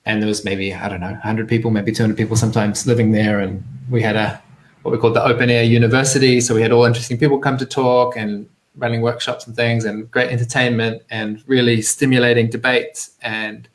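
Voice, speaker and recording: A man's voice: mid-range voice, flat delivery, fairly quick. A man in his thirties with a New Zealand accent, telling his own story as an interview guest in a reflective, searching way. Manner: reflective, hesitant, searching for words. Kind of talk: conversational personal storytelling.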